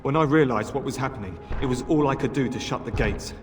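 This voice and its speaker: A man's voice: medium pitch, quick pace, natural voice. A man playing a loyal, battle-weary knight in a fantasy video game, urgent and commanding yet deferential to his lords. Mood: urgent, grave. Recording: long hall reverb, music behind.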